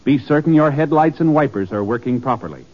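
A man's voice: medium pitch, animated. A man reading a scripted 1950s radio announcement in a formal, earnest manner. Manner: formal, earnest, measured phrasing. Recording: dull lo-fi 1950s radio recording.

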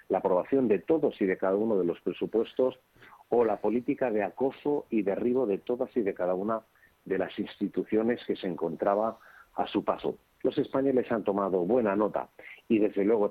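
Husky spanish guy, lowish voice, political spokesman talking formal and rhetorical on radio. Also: narrow, tinny audio. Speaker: political party spokesman as guest. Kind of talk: political commentary in a radio interview.

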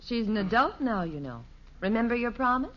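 An actress playing a patient middle-aged housewife, soothing and gently skeptical, in a 1950s radio comedy. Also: female voice, on the high side, sing-song, a little fast, slight background noise. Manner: soothing, dryly wry. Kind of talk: 1950s radio sitcom acting. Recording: muffled vintage 1950s radio recording.

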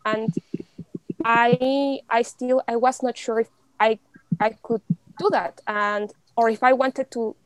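A young woman from Mexico in casual conversation, chatty, with many fillers and an animated, often laughing delivery. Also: fairly high pitch, takes it slowly, no echo, rough recording. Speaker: podcast guest.

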